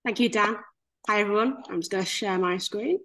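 A woman's voice: medium pitch, fairly quick. A woman in her twenties with a Yorkshire accent, giving a chatty, good-humoured presentation over a video call. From Leeds, Yorkshire, England. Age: 20s. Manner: chatty, lively, self-deprecating humour. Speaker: guest speaker. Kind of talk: casual webinar presentation.

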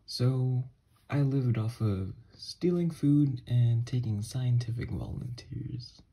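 A man acts out an obsessed scientist who escaped a mental ward, in an ASMR horror roleplay. His voice is very breathy and mellow, and he confesses slowly and haltingly, full of remorse. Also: medium pitch, heavily acted. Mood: regretful, confessional. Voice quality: husky, breathy.

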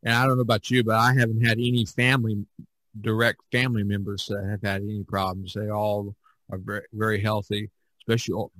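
A middle-aged man chatting casually as a podcast host, rambling and halting as he searches for words. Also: fairly low pitch, level tone, a bit bright, no echo, rough recording. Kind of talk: casual chat.

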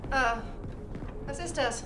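Casting: young woman soldier for a video game, slightly high voice, alert and wry, music behind. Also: slow, bright, forceful tone, heavily acted. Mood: puzzled, wry. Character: young female fighter, alert, wry and concerned. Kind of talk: German video game dubbing.

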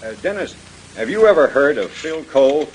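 An actor playing a courteous, cautious museum director in a 1930s radio drama. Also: male voice, fairly high pitch, very animated, moderate pace. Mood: measured, grave. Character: courteous, cautious museum director, middle-aged man of authority. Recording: noisy vintage radio transcription.

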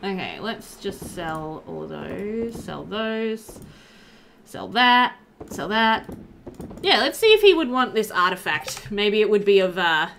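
A woman's voice: mid-range voice, sing-song, takes it slowly, gravelly, fairly bright tone, a little hiss or hum. A young woman giving chatty, informal, giggly commentary while playing a video game. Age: young adult. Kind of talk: casual let's play commentary.

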